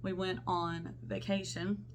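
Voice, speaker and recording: A woman's voice: on the low side, little pitch movement, slow, noisy background. Chatty woman with a stuffy sinus voice. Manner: chatty, rambling, self-interrupting. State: congested from a sinus infection. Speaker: cross-stitch vlogger. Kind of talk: casual show-and-tell vlog.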